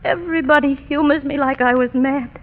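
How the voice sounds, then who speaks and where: A woman's voice: high voice, steady tone, brisk, lightly acted. An actress playing a frightened young woman thought to be mad, speaking anxiously in a 1930s radio drama. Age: young adult. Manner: anxious, trembling. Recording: dull, echoey old radio recording.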